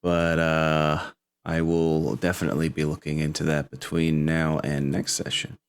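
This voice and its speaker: A man's voice: a bass voice, little pitch movement, a little slow, clear, resonant tone. A man hosting as game master, chatting casually and informally before an online tabletop game.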